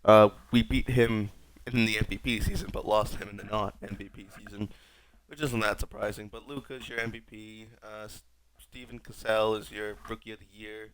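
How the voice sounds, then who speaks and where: Man, young adult, raspy, sick, lowish voice, rambling, wry, casual game commentary. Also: flat delivery, moderate pace, poor audio. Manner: rambling, wry, self-mocking. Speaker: gaming streamer.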